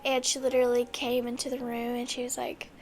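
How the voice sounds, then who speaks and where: A young woman with a noticeably high voice talks in a loose, chatty ramble, often restarting her sentences. Her head cold makes her sound stuffy and congested, and soft music plays faintly behind her. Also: monotone, brisk. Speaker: book vlogger. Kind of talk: casual chat.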